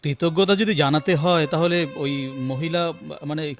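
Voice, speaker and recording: A man playing an earnest, talkative doctor in a radio drama, explaining and gently admonishing. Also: a little high, a little slow, close to an everyday voice. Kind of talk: Bengali radio drama acting.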